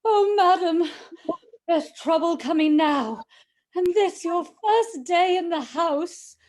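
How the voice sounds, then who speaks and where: A woman's voice: very high voice, lively intonation, slow, a little mellow, full character voice. A woman playing a superstitious, deferential housekeeper of a haunted manor in a radio play, with an ominous, formal way of speaking. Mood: foreboding. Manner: ominous, dramatic, formal servant address.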